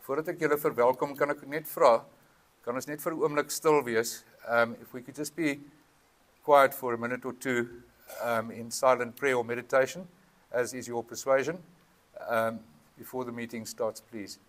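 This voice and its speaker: A man's voice: mid-range voice, fairly even intonation, fairly bright tone. Earnest South African man addressing a hall. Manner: earnest, halting, with frequent ums, switching between Afrikaans and English. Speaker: community meeting chair. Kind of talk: public address at a town meeting. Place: town hall. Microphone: PA microphone with feedback.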